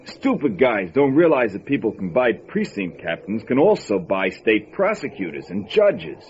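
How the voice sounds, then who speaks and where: A man's voice: on the low side, big pitch swings, normal speed, a little mellow. An actor playing a cold, cocky hitman who talks tough gangster slang in an old-time radio thriller, menacing and sneering. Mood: cocky menace. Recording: dull, noisy lo-fi radio recording.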